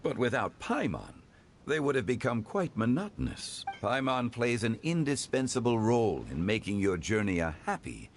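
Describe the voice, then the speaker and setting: A man voicing a composed, learned gentleman in a video game, with formal and eloquent delivery. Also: mid-range voice, very animated, normal speed, slight background noise. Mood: thoughtful, approving. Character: composed, learned gentleman consultant, courteous and measured. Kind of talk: video game character acting.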